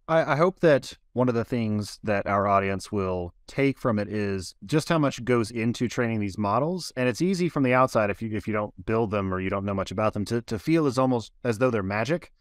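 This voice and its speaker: A man's voice: fairly low pitch, lively intonation, fairly quick, hardly any pauses, no room sound, clean studio sound. A man hosting a podcast, introducing the episode and his guest in an earnest, explanatory way, often repeating words as he starts a phrase. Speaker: podcast host.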